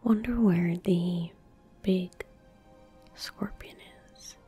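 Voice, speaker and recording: A young woman gives hushed ASMR commentary on a game in a soft, breathy whisper. Her delivery is very slow and gentle, with a mellow tone, right up at the mic over keyboard and mouse clicks. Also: fairly low pitch, soft background music. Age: young adult. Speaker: ASMR gaming streamer. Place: indoor room at a desk. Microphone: close desk microphone. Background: keyboard typing and mouse clicks.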